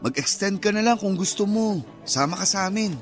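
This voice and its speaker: Romance radio lead, played by a man: young, earnest, friendly Filipino male, relaxed chatty delivery, a bit high-pitched. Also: brisk, soft background music, close to an everyday voice. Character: young man, friendly, earnest suitor meeting old sweetheart.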